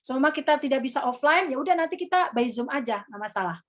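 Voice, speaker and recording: Young woman, high-pitched, easygoing trainer with a rambling, filler-heavy delivery. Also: expressive, fast. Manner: chatty, calls herself Kakak, many fillers. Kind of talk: casual workshop teaching.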